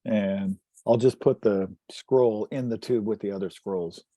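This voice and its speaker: A man's voice: lowish voice, measured pace, mellow, no room sound. A man playing a tabletop role-playing game, talking casually.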